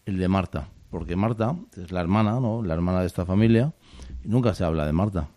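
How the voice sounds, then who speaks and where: A man hosting a casual radio talk on poetry, explaining and reading poems in a steady way, often ending lines with a questioning tag. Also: sits low, a little fast, no echo. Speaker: radio host. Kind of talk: casual radio chat about literature.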